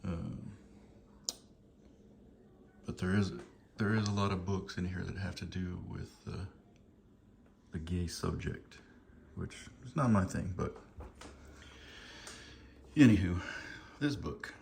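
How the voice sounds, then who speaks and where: Deep gravelly middle-aged man, rambling casually. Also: takes it slowly, long pauses, darkish tone. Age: 50s. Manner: amused, chuckling, hesitant and apologetic. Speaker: vlogger showing books from a collection. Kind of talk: casual rambling chat to viewers. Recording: noticeable background noise.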